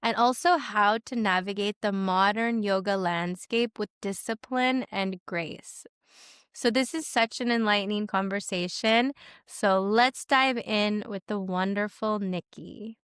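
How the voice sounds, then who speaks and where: A woman from Canada hosting a podcast, enthusiastic and gushing, her voice a bit raspy from a cold. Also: mid-range voice, a little slow, a bit bright, no echo, clean studio sound. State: getting over a cold, raspy. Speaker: podcast host. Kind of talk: podcast intro and interview. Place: home in Sweden.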